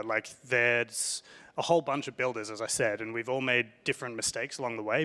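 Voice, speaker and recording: A man's voice: mid-range voice, bright, forceful tone, in a large hall. A man speaking casually on a panel discussion, conversational with frequent fillers and emphatic points.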